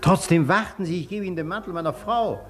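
An actor playing a gallant, insistent, well-off gentleman doctor with a courtly manner in a German radio play. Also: high voice, fast, faint music behind, close to an everyday voice. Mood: gallant, eager. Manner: courtly, pressing.

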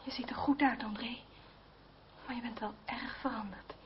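A woman's voice: a little high, steady tone, normal speed, natural voice. An actress playing a young noblewoman in a radio play, impulsive and tender, with an emotional, hesitant manner. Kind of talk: Dutch radio play acting. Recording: dull, very noisy old radio recording.

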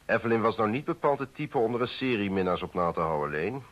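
Deep, husky, evasive politician. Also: male voice, expressive. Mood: defensive, worried. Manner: hesitant, formal, measured. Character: defensive male politician confessing an affair, formal and evasive. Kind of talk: Dutch radio play acting. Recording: dull old radio play recording.

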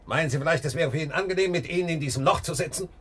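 An actor playing a stubborn, scornful ship's captain in an old German radio crime drama, blunt and dismissive. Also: male voice, a little high, very fast, breathy edge.

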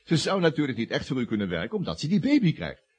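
A man's voice: fairly high pitch, wildly swooping pitch, fast, a little mellow, breathy edge, full character voice. A man acting in a radio comedy as an eager young office schemer, persuasive and stammering when flustered. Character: eager fast-talking young male office schemer, nervy suitor.

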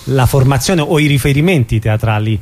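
Radio host guy, average pitch, casual, kinda halting when he asks stuff. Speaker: radio interviewer. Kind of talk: informal radio interview.

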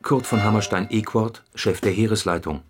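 A man's voice: fairly low pitch, steady tone, moderate pace, faint music behind. A man reading scripted material as an announcer in a radio documentary, formal and official in manner.

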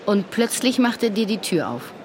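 Woman acting persistent female investigator, mid-pitched, sceptical, probing, radio drama, faint noise behind. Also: very animated, normal speed, darkish tone, natural voice. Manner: probing, insistent questioning.

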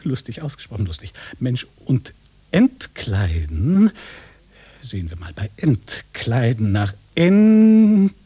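A man's voice: mid-range voice, big pitch swings, slow pace, warm tone, husky, heavily acted. An actor playing a vain, pushy fake policeman in a German radio play, pompous, lecherous and pedantic. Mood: pedantic, amused.

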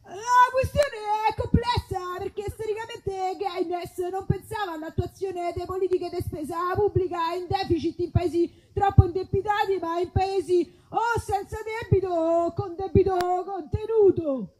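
Woman doing a very high-pitched, loud street tramp. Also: steady tone, normal speed, few pauses, low-quality recording. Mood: pontificating. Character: friendly male street tramp calling out greetings and pontificating. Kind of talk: mock advert character in live comedy reading.

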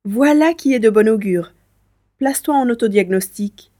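A woman playing a determined, curious young hero in a science fiction audio drama, expressive and thinking aloud. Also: fairly high pitch, normal speed, natural voice.

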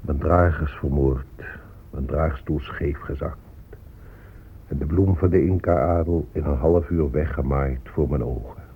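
Very deep-voiced, sorrowful native ruler. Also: male voice, slight background noise. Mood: bitter, resigned. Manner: solemn, measured delivery. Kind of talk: radio drama monologue. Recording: muffled phone-like old radio recording.

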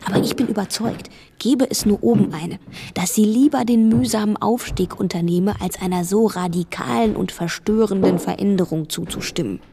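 A woman's voice: mid-range voice, normal speed, vocal fry, noisy background, lightly acted. An actress playing a teenage housemaid who tells of her summer job in a radio play in a matter-of-fact way. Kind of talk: radio drama monologue.

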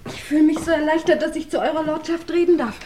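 An actress playing a timid, deferential parlour maid in a 1950s radio crime drama, humble and eager. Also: female voice, high voice, quick pace, warm tone, slight background noise, close to an everyday voice. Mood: anxious deference.

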